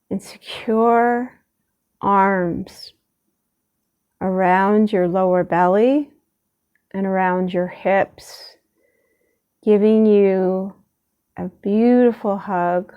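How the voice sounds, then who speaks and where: A woman leading a gentle guided meditation in a soothing, unhurried way, leaving long pauses between short phrases. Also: medium pitch, clear, resonant tone, dull audio.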